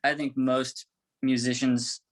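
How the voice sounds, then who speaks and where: A man's voice: medium pitch, level tone, slow pace. A man speaking casually and conversationally as a band member being interviewed. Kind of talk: casual chat.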